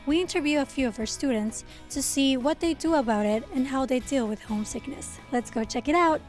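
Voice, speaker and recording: A woman student introduces a segment, speaking in a friendly way straight to the viewers. Her voice is high. Faint music plays underneath. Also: brisk. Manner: friendly, direct address. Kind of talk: scripted intro.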